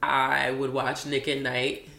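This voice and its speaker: A woman with a raspy voice co-hosting a podcast, chatting casually and laughing often. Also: a bass voice, level tone, takes it slowly, pushed and bright, reverberant. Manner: laid-back, laughing often. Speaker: podcast co-host. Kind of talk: casual chat.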